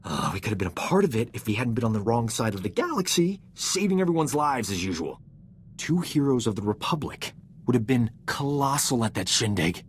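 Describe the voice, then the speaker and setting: An actor playing a cocky, flirtatious medic in a video game, smooth-talking and boastful, with a theatrical way of talking. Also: male voice, mid-range voice, animated, a little fast, a little hiss or hum, lightly acted. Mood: exasperated, pleading. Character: cocky, flirtatious male medic, self-promoting charming rogue. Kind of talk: video game character dialogue.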